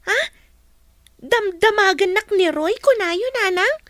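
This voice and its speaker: A woman with an Ilocano accent playing a pouty, excitable young schoolgirl daughter in a radio comedy drama. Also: very high-pitched, slow pace, no room sound, clean studio sound, full character voice. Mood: defensive.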